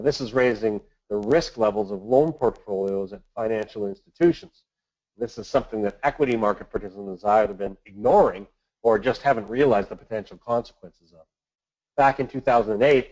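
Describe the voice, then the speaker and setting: A man with a fairly low voice delivers market commentary on a conference call. He speaks in a measured, formal way, as if working from prepared notes. The audio is somewhat dull and lo-fi. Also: a little mellow. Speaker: financial analyst hosting a market update.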